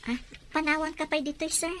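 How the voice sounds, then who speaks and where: An actress plays a poor young Filipina housemaid in an audio drama. Her voice is high and she speaks politely and with worry, a dutiful daughter deferring to her employer. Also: fairly even intonation, fairly quick, fairly bright tone, a little hiss or hum. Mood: respectful.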